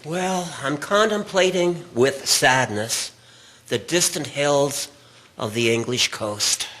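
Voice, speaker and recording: A man's voice: on the high side, slow pace, sharp tone. A man playing an ailing, melancholy army doctor in a radio drama, weary, courteous and formally reflective. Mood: wistful, resigned.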